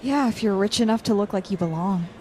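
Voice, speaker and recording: A woman's voice: mid-range voice, fast talker, mellow, a little hiss or hum, natural voice, low-quality recording. A woman playing a studious, guarded college student with a dry, slightly hesitant delivery, in naturalistic audio drama. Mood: wry, deadpan. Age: young adult.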